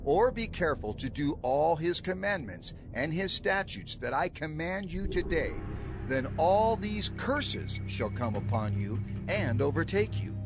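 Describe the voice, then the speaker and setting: A man's voice: a little high, very animated, a little slow. A man voicing an aged prophet solemnly warning his people, declaiming in a grave, rhythmic cadence over a music underscore. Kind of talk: dramatized scripture reading.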